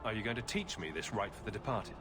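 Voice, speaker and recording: A man's voice: on the low side, sing-song, fast talker, music in the background. A man voicing a self-assured human adventurer in a fantasy game, with a dry, direct way of talking. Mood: wry.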